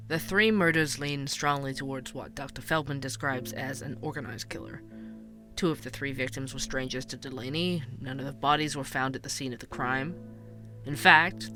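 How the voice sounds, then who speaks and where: A true-crime podcast host, with a slightly high male voice. He narrates a scripted audio drama in a measured, inquisitive way, as if digging into a case, with faint music behind him. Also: pushed and bright. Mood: measured, puzzled.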